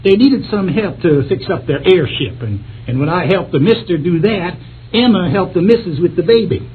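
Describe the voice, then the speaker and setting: A man playing a good-natured rural American husband who likes pulling legs, with halting homespun talk in radio drama style. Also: high voice, expressive. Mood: deadpan teasing. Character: folksy rural husband Walt, good-natured leg-puller. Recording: thin phone-like radio sound, clear noise.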